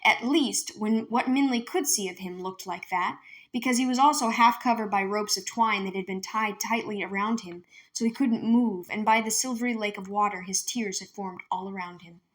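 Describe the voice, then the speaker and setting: A woman's voice: mid-range voice, moderate pace. A woman reading a children's novel aloud as a storyteller, narrating from the page. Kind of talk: scripted children's book narration.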